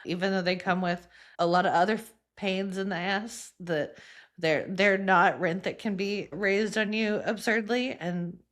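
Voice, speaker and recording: A woman's voice: mid-range voice, fairly even intonation, measured pace, fairly bright tone. A young American woman co-hosting a podcast in casual, chatty conversation, her informal talk peppered with "like" and "you know". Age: young adult. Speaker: podcast co-host.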